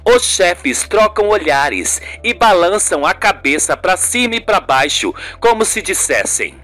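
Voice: medium pitch, big pitch swings, a little slow, fairly bright tone, faint music behind. A narrator with a Brazilian accent reading a radio soap in a dramatic scripted style.

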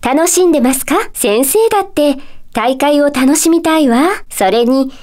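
A gentle lady teacher from a magic school for a Japanese video game. Her voice is high and sweetly composed. She speaks politely, like someone addressing young pupils, with a playful, coy touch. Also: measured pace. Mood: kindly, gentle. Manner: polite, soft-spoken teacherly phrasing with playful coyness. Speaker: voice actor.

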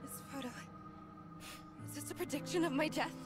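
A woman voicing a panicked, bitter young schoolgirl in an anime visual novel, quick to anger and exclamatory. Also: sits high, natural voice. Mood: shaken, uneasy. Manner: dramatic, exclamatory. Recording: loud music behind the voice.

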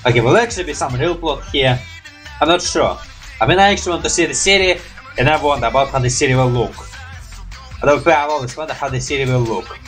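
A man's voice: medium pitch, fast talker, fairly bright tone, faint music behind. A young man reacting casually to animations in rambling, hesitant, non-native English, with habitual mild swearing. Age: young adult. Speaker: reaction video host. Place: small room at home.

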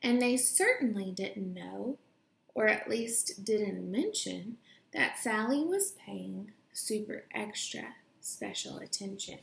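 A young woman reading a children's picture book aloud in a deliberate, expressive storytelling way, recorded in a room at home. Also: mid-range voice, vocal fry. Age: young adult. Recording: roomy home echo.

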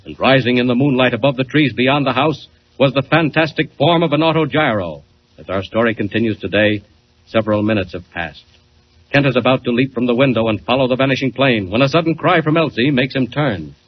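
A man's voice: medium pitch, fairly quick, slightly rough. A man narrating dramatically as announcer of a 1940s radio serial, on a dull, noisy old recording.

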